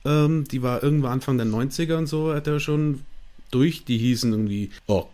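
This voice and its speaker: A man's voice: mid-range voice, a little fast, dark tone. Male, German dialect speaker, eager rambling explainer, casual podcast guest. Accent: regional German dialect. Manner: enthusiastic, rambling with fillers and restarts.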